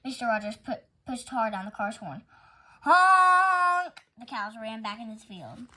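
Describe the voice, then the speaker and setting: A woman's voice: fairly high pitch, a little fast, husky. A woman reading a children's picture book aloud as a storytime host.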